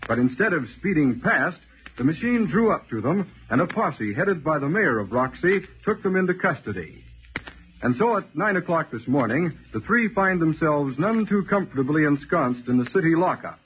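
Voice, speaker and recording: A man's voice: a little high, very animated, moderate pace, a little hiss or hum. A man with an American accent reading scripted narration in a dramatic, clipped 1940s radio announcer style. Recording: thin, phone-like old radio recording.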